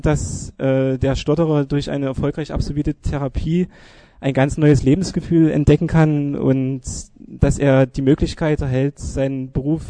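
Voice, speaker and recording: Very dark, mellow-voiced male radio presenter. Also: medium pitch, no echo. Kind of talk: informative spoken presentation on a radio show.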